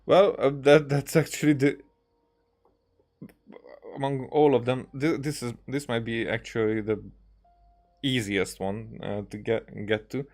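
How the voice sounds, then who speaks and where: A man giving casual, unscripted game commentary in a halting, rambling way, circling back over his words. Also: mid-range voice, fairly even intonation, a little mellow. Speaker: gaming streamer playing and commenting on a game.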